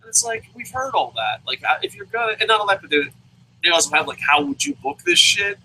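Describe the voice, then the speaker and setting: Lively, bright-voiced wrestling podcast host. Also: male voice, high-pitched, fast, slight background noise, low-quality recording. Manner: rambling, stammering restarts, swears freely. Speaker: wrestling review show host. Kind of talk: casual podcast chat, profane. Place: lives in Japan.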